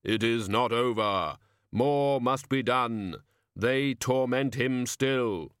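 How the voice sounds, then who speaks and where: A man voicing a solemn skeletal reaper in an audiobook, speaking slowly and weightily in a matter-of-fact way. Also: medium pitch, fairly bright tone, clear, resonant tone, no echo, clean studio sound, pitched above the performer's own voice. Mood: solemn, urgent. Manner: slow, weighty, measured delivery.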